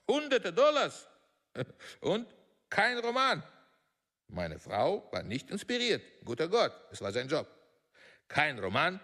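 An actor in a radio drama playing a pompous, impatient, blustering veteran film producer. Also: male voice, medium pitch, fairly bright tone, in a large hall, full character voice. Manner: pompous, hesitant filler sounds, switches languages, barks orders.

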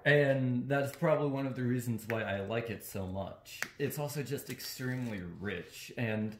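A young man, chatting casually in a halting, deliberate way. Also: mid-range voice, slight background noise. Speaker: co-host answering and asking questions. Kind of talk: casual chat. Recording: roomy, echoing sound.

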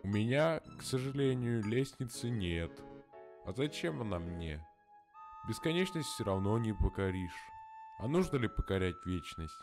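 A man's voice: fairly low pitch, sing-song, normal speed, over music. A man voicing a talkative grown-up neighbor in an amateur cartoon who muses in a folksy way.

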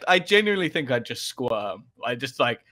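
A man's voice: medium pitch, sing-song, sharp tone. A man in his thirties, a guest on a podcast, chatting casually over a video call and laughing often. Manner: laughing, quick asides. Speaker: guest, esports caster. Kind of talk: casual chat. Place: home room, indoors. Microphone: headset on video call.

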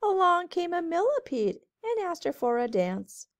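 A woman teacher reading a storybook aloud to children in a measured, sing-song way. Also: very high voice, big pitch swings. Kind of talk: storybook read-aloud narration.

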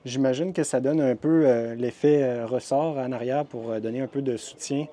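Male RV vlogger, Québécois French accent, mid-pitched mellow voice, casual descriptive show-floor walkthrough. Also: steady tone, moderate pace. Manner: chatty and descriptive, frequent hesitations. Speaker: RV vlogger touring a trade show. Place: indoor RV show hall.

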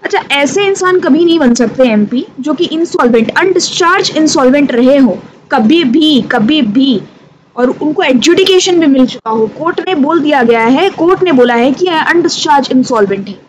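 High-pitched Indian woman teaching. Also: expressive, moderate pace, poor audio. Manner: conversational, addresses students directly, checks in often. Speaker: teacher of company law exam revision. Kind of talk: informal lesson mixing Hindi and English. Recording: clearly audible background noise.

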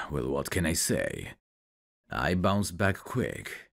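Man acting a very deep, gravelly flirty guy. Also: big pitch swings, fairly bright tone, heavily acted. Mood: easygoing, wry.

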